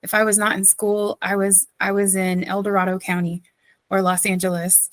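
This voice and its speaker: Woman pastor from southeastern North Carolina, a podcast guest; easy storyteller who laughs often, mid-pitched voice, dry close sound. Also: level tone, normal speed, no room sound. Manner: chatty, laughs often, many fillers. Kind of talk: casual interview storytelling.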